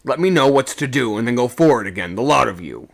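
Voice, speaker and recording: A man's voice: mid-range voice, big pitch swings, takes it slowly, sharp tone, exaggerated voice. A man playing a stern second mate on a sailing ship, speaking sharply and with command. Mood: stern, urgent.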